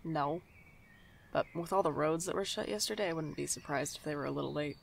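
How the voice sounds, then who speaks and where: Woman as wry young night shift worker, bit low and croaky, audio drama. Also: brisk, a little hiss or hum. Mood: defensive. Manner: dry, wry, casual. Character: sardonic, easily exasperated young night-shift shop worker. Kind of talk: audio drama acting and first-person narration.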